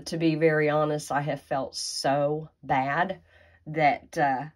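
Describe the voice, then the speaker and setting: A woman from talks through a craft tutorial, her voice low and her pace unhurried. Her nose sounds stuffed up from a sinus infection, and she chats in a folksy way with plenty of little fillers. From Tennessee, USA. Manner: chatty and folksy, with frequent fillers and asides. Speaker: craft demonstrator. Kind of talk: casual card-making tutorial.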